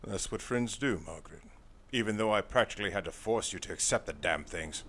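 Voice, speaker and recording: A man's voice: fairly low pitch, fairly quick, fairly bright tone, a little hiss or hum, natural voice. A man playing a formal, dry, weary older private investigator in an audio drama, speaking in precise, wordy sentences. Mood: wry. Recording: music behind the voice.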